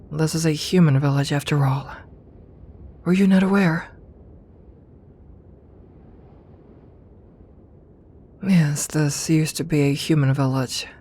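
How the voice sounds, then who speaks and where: A woman playing an imperious serpent queen in an ASMR audio roleplay, speaking formally and with measured dignity, commanding yet tender toward her companion. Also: sits low, darkish tone, husky. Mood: calm, matter-of-fact. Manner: regal, formal, measured speech. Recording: ambient noise behind the voice.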